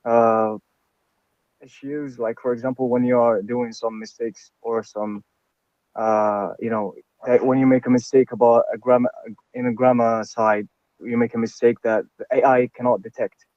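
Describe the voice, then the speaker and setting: A man's voice: medium pitch, flat delivery, moderate pace, a little mellow, dry recording. Man on muffled call audio. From Algeria. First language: Arabic. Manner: hesitant, many "like" and "you know" fillers. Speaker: lecture participant. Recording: dull, dry online call audio.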